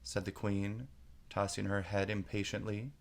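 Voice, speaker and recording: A man's voice: lowish voice, little pitch movement, unhurried. A man narrating a children's storybook as a read-aloud.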